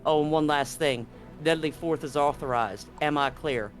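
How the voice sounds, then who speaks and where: A man playing a gruff, profane Marine commander briefing a squad in a tabletop game, blunt and commanding. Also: on the high side, moderate pace, soft background music, close to an everyday voice. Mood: hard warning.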